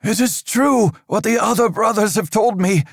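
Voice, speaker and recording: A man voicing a fearful old priest whose shrill voice quivers as he preaches and accuses. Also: moderate pace, gravelly, no echo, studio quality. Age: older.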